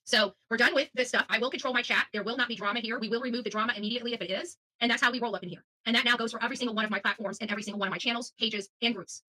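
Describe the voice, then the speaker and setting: A middle-aged woman speaks off the cuff to her online audience at a very rapid clip. Her voice is fairly high, with a bright, forceful edge. She is blunt and combative, and salty in her wording. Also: fairly even intonation, poor audio. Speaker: host of an online channel. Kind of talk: unscripted commentary addressed to her audience.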